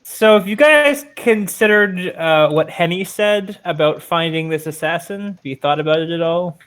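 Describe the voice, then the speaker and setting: A man's voice: a little high, normal speed, fairly bright tone, clear, resonant tone. A man playing a tabletop game, chatting casually in an inquisitive, questioning way. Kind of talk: casual in-character discussion.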